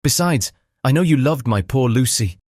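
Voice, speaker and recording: An actor playing a grieving, courteous lord in a dramatised audiobook, speaking in an earnest, formal manner. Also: male voice, mid-range voice, animated, normal speed, a little mellow, no echo, clean studio sound, lightly acted. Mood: earnest, grateful. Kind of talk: dramatised audiobook character acting.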